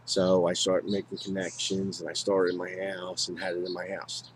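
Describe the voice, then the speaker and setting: An American man talking casually and unscripted to viewers as a hobbyist, in a halting, rambling way, often repeating himself. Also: low-pitched, completely flat delivery, slight background noise. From United States. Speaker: hobbyist video maker.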